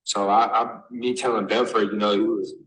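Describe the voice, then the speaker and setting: A young man from Massachusetts, raised in Texas, answering interview questions as a basketball recruit, halting with many fillers and restarts. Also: lowish voice, little pitch movement, a bit bright, a little hiss or hum, low-quality recording. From born in Massachusetts, raised in Texas. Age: young adult.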